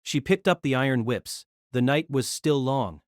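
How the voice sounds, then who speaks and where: A narrator reading a novel aloud in an expressive storytelling manner. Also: mid-range voice, moderate pace, no room sound, studio quality.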